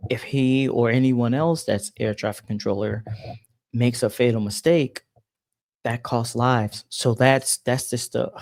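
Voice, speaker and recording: A man gives casual news commentary in a mid-pitched voice. He sounds exasperated and emphatic, often rewording as he goes. The sound is dry, with little room in it. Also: darkish tone, no room sound. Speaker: commentary show host.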